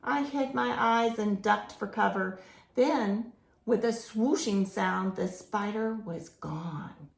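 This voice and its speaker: Slow-paced woman reading a children's story. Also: mid-range voice, creaky, roomy sound. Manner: explains to young listeners with simple questions and expressive emphasis. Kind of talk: read-aloud picture book storytelling with asides to children.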